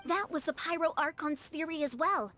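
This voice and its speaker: A woman's voice: high voice, moderate pace, bright, forceful tone, faint music behind. A woman playing a caring, level-headed young warrior in a fantasy video game, reassuring yet firm. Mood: serious.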